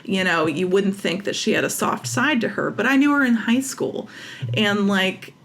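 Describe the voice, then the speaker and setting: A woman interviewed as a writer, talking casually and haltingly as she searches for words and corrects herself. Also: mid-range voice, lively intonation, creaky.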